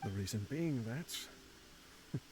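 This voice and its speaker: A worn former desert warrior leader, speaking in a middle-pitched voice with wry, self-mocking chuckles. He sounds tired and sentimental under the joking. A faint hiss sits behind him. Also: expressive, extremely slow. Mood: wistful. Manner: wry and rueful, chuckling through a farewell letter. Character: aging, ailing former desert mercenary leader, wry father. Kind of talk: game voice acting.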